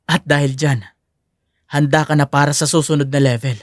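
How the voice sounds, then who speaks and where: A man's voice: fairly high pitch, little pitch movement, normal speed, dry recording, studio quality, natural voice. A man playing an encouraging male taekwondo coach, instructive and earnest, in an audio drama. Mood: sincere praise. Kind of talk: audio drama character acting.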